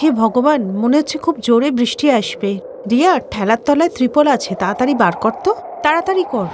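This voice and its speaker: High-pitched, worried poor mother acted by a woman. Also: expressive, brisk, noisy background, natural voice. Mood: alarmed. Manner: pleading, worried. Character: poor anxious hardworking mother, a street snack seller.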